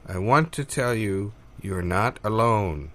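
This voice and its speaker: A man playing a meek, unambitious job applicant in improvised audio comedy, halting and deadpan. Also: on the low side. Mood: earnest, deadpan.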